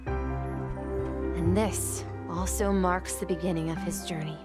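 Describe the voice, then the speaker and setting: A woman voicing a gentle, solemn storyteller in a video game, with deliberate, storybook-like delivery. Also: on the low side, fairly even intonation. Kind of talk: scripted game dialogue. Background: loud chiming mallet music.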